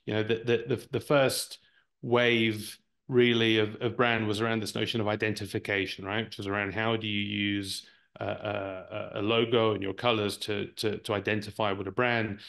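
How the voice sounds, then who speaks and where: A Brazilian-born man who speaks with an English accent and whose first language is Portuguese, explaining ideas at length as an interview guest. His voice sits fairly low and has a gravelly, creaky edge. He often circles back and repeats words while he finds his phrasing. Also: level tone, normal speed, fairly bright tone. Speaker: guest, marketing executive. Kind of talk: conversational interview answers.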